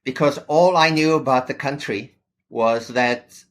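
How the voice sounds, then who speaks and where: A man in his fifties, a podcast guest who talks thoughtfully and pauses on fillers. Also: medium pitch, a bit bright. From South Africa. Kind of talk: podcast interview.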